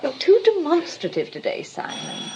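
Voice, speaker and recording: A woman's voice: high-pitched, huge pitch swings, moderate pace, noisy background. Arch, swooping English society woman. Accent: English, upper-class. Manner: arch, cool, languid. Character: cool, sophisticated, teasing English society woman. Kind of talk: stage-style radio comedy acting.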